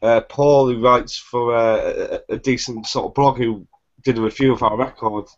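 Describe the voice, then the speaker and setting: A man of ordinary pitch chats eagerly about his band, full of "mate" and swearing. His voice comes through dull and lo-fi. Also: fairly even intonation, moderate pace. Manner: enthusiastic, says mate and swears a lot. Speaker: band member guest. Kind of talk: casual chat. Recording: dull lo-fi sound.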